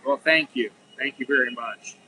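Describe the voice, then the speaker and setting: A man's voice: mid-range voice, normal speed, sharp tone, a little hiss or hum, poor audio. A middle-aged American man talking frankly in a rambling, unscripted monologue, full of restarts and "you know.". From United States. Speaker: vlogger.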